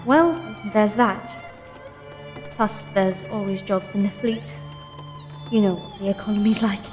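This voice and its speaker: An actress playing an earnest, loyal, plain-spoken fleet recruit in a science fiction audio drama. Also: female voice, medium pitch, fairly even intonation, a little slow, natural voice. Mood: subdued, sincere. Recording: long hall reverb, music behind.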